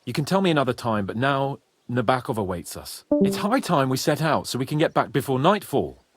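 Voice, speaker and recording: Husky, proud young medieval lord. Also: male voice, mid-range voice, fairly quick, no room sound. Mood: earnest. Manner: haughty, swearing, expressive. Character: proud, hot-headed young nobleman in medieval Bohemia. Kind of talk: video game cutscene acting.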